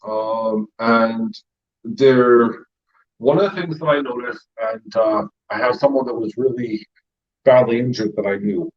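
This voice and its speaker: Middle-aged American host, husky voice, muffled stream audio, rambling chat. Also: male voice, mid-range voice, a little slow. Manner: rambling, with many fillers and laughs. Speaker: YouTube channel host; retired paramedic. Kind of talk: casual live-stream chat.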